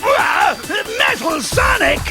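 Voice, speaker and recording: Mad scientist game villain, pompous, gloating, booming, gravelly, wildly swooping delivery, music underneath. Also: male voice, sing-song, moderate pace, exaggerated voice. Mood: outraged. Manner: booming, pompous, bellowed villain laughs and taunts. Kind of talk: theatrical video game cutscene acting.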